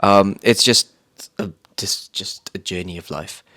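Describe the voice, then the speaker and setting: A man's voice: deep voice, fairly even intonation, unhurried, a bit bright. A man from the UK co-hosting a podcast, upbeat and chatty, stretching his phrases. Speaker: podcast co-host. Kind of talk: casual chat.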